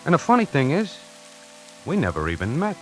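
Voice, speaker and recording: A man's voice: on the high side, wildly swooping pitch, fairly quick, loud music behind the voice, rough recording. An actor playing a streetwise, nervous young tie salesman in a 1940s radio play, tough-talking and slangy, on an old radio recording. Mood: uneasy. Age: young adult. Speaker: lead actor narrating.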